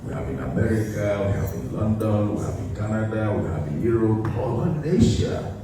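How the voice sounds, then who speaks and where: A middle-aged community leader, a man, answering interview questions in a measured way and listing his points one by one. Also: lowish voice, very animated. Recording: echoey room, voice far from the mic, faint noise.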